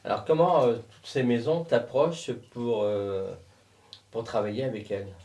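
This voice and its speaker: A man's voice: mid-range voice, animated, normal speed, mellow. A man interviewing a guest in a casual style with short questions, heard at some distance from the microphone. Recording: voice distant from the mic.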